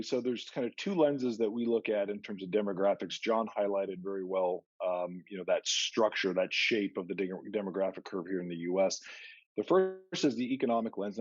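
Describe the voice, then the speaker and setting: Husky low-voiced man on a call. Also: darkish tone, dry recording. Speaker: investment analyst. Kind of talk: explanatory market commentary on a conference call. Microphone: conference call line. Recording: dull, narrow conference call audio.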